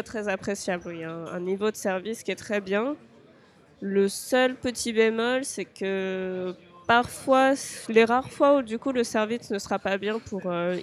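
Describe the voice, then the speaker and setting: A young woman from France, a podcast guest speaking hesitantly, with frequent fillers and restarts. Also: on the high side. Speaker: interview guest. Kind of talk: podcast interview.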